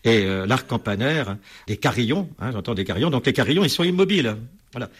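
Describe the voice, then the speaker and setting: A man's voice: mid-range voice, animated, fast, husky. A man being interviewed as a bell expert, explaining at length with many hesitations and restarts.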